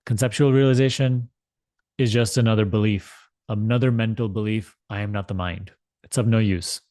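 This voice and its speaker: Low-voiced man guiding a meditation. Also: fairly even intonation, a little mellow, no echo, clean studio sound. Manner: deliberate, measured, with long pauses and repeated phrases. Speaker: meditation teacher leading a guided meditation. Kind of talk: guided meditation instruction, spiritual talk.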